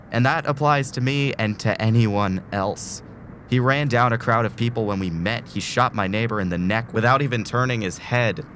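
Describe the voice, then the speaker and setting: A man plays an anguished, principled hero of an audio drama. His voice sits low, weary and earnest, heavy with emotion. Some noise runs behind the recording. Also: a bit bright, lightly acted. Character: anguished, principled man trapped in time loop.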